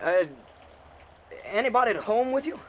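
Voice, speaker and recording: Nervous everyman in peril: high voice, hesitant stammering delivery, dull old radio sound. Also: lively intonation, moderate pace. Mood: uneasy, sheepish. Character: nervous stranded husband alone in a haunted house. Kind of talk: old-time radio suspense acting. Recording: muffled, noisy old radio recording.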